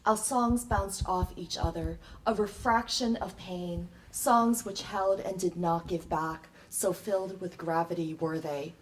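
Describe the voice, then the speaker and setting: A woman reciting poetry live with solemn, deliberate phrasing, recorded with faint room noise. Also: on the low side, fairly even intonation, poor audio. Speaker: poet and performer in an ensemble. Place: public library event before an audience.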